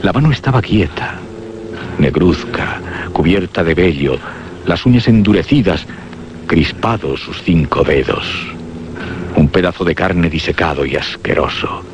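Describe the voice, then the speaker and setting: A man acting as the grave, deliberate narrator of a suspense and horror radio drama. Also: sits low, expressive. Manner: solemn, deliberate, theatrical. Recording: dull, noisy old radio drama recording.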